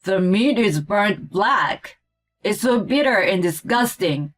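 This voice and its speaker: A woman's voice: mid-range voice, lively intonation, slow pace, faint music behind. A woman voicing a grumpy, whiny grown man in a story, indignant and complaining about everything. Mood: angry complaining. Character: grumpy, whiny grown man, a picky meat-loving eater. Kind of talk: acted story dialogue.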